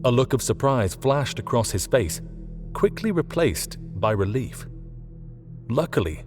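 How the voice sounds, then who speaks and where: A man's voice: mid-range voice, sing-song, a little slow, a little mellow. A man reading scripted fiction as an audiobook narrator. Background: faint music.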